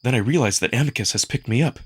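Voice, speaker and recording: A man performing dramatic first-person story narration with expressive, acted-out delivery. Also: mid-range voice, fast talker, husky. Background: music.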